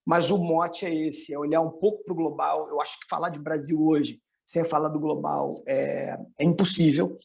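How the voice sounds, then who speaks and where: Middle-aged Brazilian man, Carioca accent, economist presenter. Slightly high pitch with marked creak, conversational and explanatory. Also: fairly even intonation, moderate pace, hardly any pauses, a little mellow. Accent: Carioca (Rio de Janeiro) Brazilian. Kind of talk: informal online presentation with slides.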